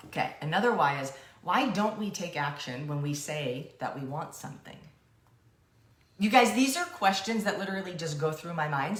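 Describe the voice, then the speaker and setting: A woman's voice: low voice, roomy sound. A woman co-hosting a coaching show, chatting casually and rambling off on playful, self-deprecating tangents.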